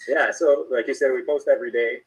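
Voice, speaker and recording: A man's voice: mid-range voice, steady tone, fast talker, husky. A man with a Canadian accent chatting casually as a guest YouTuber. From Toronto area, Canada. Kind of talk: casual interview chat. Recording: distant from the microphone.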